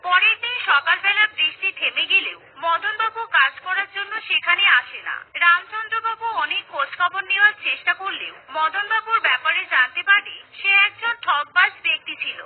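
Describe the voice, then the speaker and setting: A woman narrates a children's cartoon story in a steady, even way. Her voice is very high. The recording is narrow and tinny, like a phone line. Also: moderate pace, few pauses.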